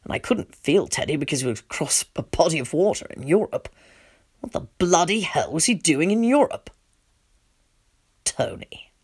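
A woman voicing a shaken, distraught father, narrating in a hushed, halting and tense way. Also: low voice, big pitch swings, husky, full character voice. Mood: bitter, anguished.

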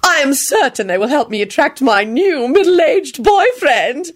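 A woman narrator voicing an imperious, theatrical old grandmother in an audiobook story, supercilious and shrill. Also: lively intonation, measured pace. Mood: trilling, happy. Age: older. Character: imperious, vicious, exultant old grandmother, shrieking and singing.

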